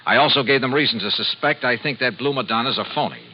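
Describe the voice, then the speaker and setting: A man's voice: medium pitch, fast, a little hiss or hum, lightly acted. An American man playing a shrewd, cool insurance investigator in a 1950s radio detective drama, with a wry, clipped, colloquial delivery. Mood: calculating, brisk. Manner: wry, clipped, colloquial, narrates as well as converses. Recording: muffled vintage radio recording, faint music.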